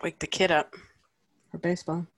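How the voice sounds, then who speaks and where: A woman's voice: on the low side, little pitch movement, moderate pace, a bit bright. A woman in her forties chatting casually, teasing the others and laughing often. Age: 40s. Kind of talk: casual chat.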